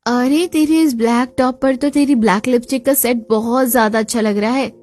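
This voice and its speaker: A woman's voice: on the high side, fairly even intonation, normal speed, darkish tone, close to an everyday voice. A woman voicing a vain college-age sister-in-law in a cartoon, girlish and gushing.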